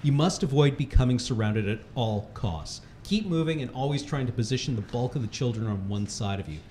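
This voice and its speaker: A man's voice: medium pitch, lively intonation, fairly quick, mellow. A man reads a humorous article aloud in a mock-serious, instructional way. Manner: often breaking into laughter. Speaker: reader at a charity livestream. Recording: echoey room with faint background noise.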